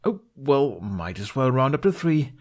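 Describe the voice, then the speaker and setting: A man voicing an excitable, cheeky teenage boy in a storybook reading, eager and a little hesitant. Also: mid-range voice, unhurried, pitched above the performer's own voice. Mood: bargaining.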